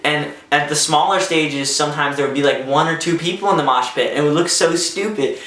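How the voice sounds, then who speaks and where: A young man tells a story vlog-style in a bright, forward voice. He is casual and excitable, acting out quotes as he goes. The sound is roomy and a little way off from the microphone. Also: a little high, fairly quick. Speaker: vlogger telling a personal story. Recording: roomy echo, distant microphone.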